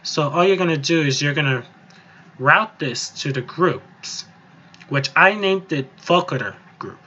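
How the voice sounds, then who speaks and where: A man with a slightly high voice talks through a software tutorial in a loose, informal way. He goes step by step and rambles a little. The recording is a somewhat dull, lo-fi voice-over. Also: animated, unhurried. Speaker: music software tutorial presenter. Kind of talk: casual, improvised screen-recorded tutorial. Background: voice-over on a screen recording.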